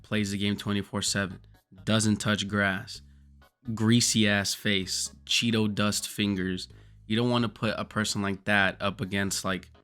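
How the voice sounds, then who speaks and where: A man with a hoarse voice from screaming, giving rambling, profane, jokey gaming commentary as a streamer. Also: lowish voice, a bit bright.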